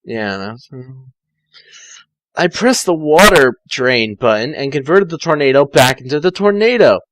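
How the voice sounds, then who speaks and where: A man's voice: on the high side, expressive, unhurried, fairly bright tone, dry recording, low-quality recording. A man reading a story aloud informally, theatrical and often stumbling or correcting himself. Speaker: amateur fan fiction reader.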